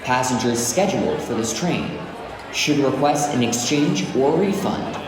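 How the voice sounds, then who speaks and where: In a huge reverberant hall with crowd noise, a man delivers formal station announcements. He speaks slowly and enunciates each word with care. The delivery is scripted, like a public address. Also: mid-range voice, animated. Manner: formal, deliberate, carefully enunciated. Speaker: English teacher voicing train station announcements. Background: busy station crowd ambience.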